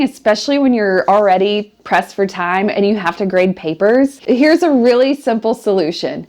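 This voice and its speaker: A woman's voice: fairly high pitch, darkish tone. A woman elementary teacher talking to camera, sharing practical classroom management tips in an upbeat, direct way.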